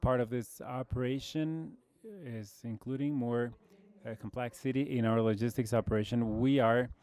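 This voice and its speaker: Brazilian guy doing a conference talk, measured, lots of uhs, mellow smooth tone. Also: mid-range voice, steady tone. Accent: Brazilian Portuguese. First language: Portuguese. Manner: measured, with frequent fillers. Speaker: conference speaker, logistics executive. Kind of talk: conference presentation.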